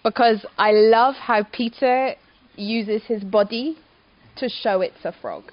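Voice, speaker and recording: Woman, stage actor, unhurried Q&A answers, muffled low-fi recording. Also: dry recording. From Scotland. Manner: slow, careful, simple wording. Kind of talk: answering audience questions. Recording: dull lo-fi sound.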